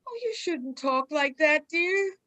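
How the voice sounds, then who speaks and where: A woman playing a doting, credulous mother who speaks gently and soothingly. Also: high-pitched, lively intonation, slow, full character voice.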